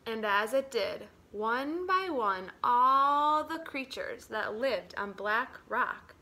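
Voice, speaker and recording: A woman with a high, bright voice reads a story aloud to children. She takes her time, telling it slowly and dramatically. Her delivery moves between hushed phrases and strong, emphatic ones. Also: expressive. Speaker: teacher reading a picture book aloud.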